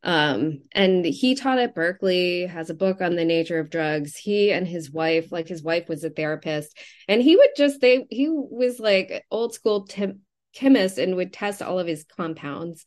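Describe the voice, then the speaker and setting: Lowish-voiced woman, halting and thoughtful. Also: lively intonation. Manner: halting, self-interrupting, thinking aloud, laughs readily. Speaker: novelist, interview guest. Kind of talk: casual literary interview.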